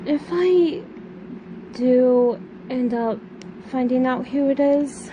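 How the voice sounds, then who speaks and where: A woman's voice: sits high, slow pace, highs cut off. A woman playing an anxious, grieving young daughter in an amateur audio drama, speaking in a hesitant, subdued way. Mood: worried. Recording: noisy hobby recording.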